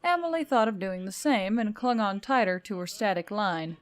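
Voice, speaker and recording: A woman reading a story aloud as an expressive narrator. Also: medium pitch, normal speed. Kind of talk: expressive audiobook narration of fiction.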